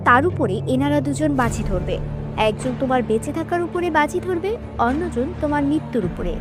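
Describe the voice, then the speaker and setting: A composed, theatrical hostess of a deadly game in a cartoon thriller. Her high voice swings widely in pitch as she gives orders, with music behind it.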